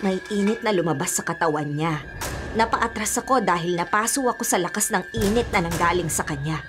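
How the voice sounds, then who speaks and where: A woman playing a wary old grandmother in a radio drama, speaking gravely and with suspicion. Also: on the low side, a little fast, close to an everyday voice. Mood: grave warning. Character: wary, foreboding old grandmother with a sixth sense. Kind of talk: Filipino radio drama acting. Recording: music bed underneath.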